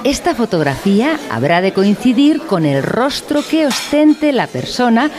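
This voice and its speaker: A woman's voice: fairly high pitch, sing-song, unhurried. Radio play: pedantic lady lecturer, mock-educational, solemnly didactic, melodic delivery, audible crowd behind. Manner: formal, didactic, pompous wording. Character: pedantic female instructor of a mock-educational course. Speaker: narrator in an audio drama.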